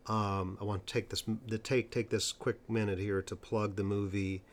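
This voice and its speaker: A man interviewing a guest in a conversational way, stressing points emphatically and slowing down for them. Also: fairly low pitch, little pitch movement, a little hiss or hum. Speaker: interviewer and stuttering coach.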